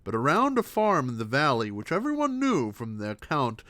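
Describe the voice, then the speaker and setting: A man's voice: medium pitch, wildly swooping pitch, moderate pace, a bit bright, no echo. A man reading gothic horror prose aloud as a storyteller, dramatically, now and then stumbling over a word and correcting himself. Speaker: host reading horror stories aloud on a livestream.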